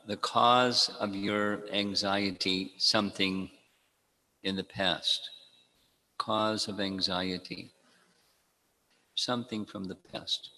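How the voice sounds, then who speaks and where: A man giving a devotional talk to a congregation, speaking in a measured way, repeating key phrases and quoting Sanskrit verses. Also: sits low, bright, forceful tone. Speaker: spiritual teacher. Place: temple hall. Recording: long reverb in a hall.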